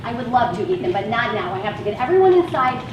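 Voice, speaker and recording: A woman's voice: on the high side, sing-song, quick pace, dull audio, noisy background. A woman playing a patient but harried van driver in a stage play, placating her passengers with kind exasperation. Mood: exasperated. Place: theatre stage, recorded from a distance. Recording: echoey stage, distant mic, music, audience.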